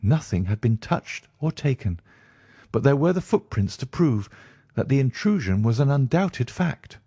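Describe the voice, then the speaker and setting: An earnest Victorian doctor, voiced by a male reader, polite and troubled, who speaks in precise, formal phrases. The pitch is lifted a little above a low male register, and the sound is dry and close. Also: big pitch swings, measured pace, dark tone, breathy edge, no room sound. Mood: puzzled, earnest. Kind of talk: audiobook character acting.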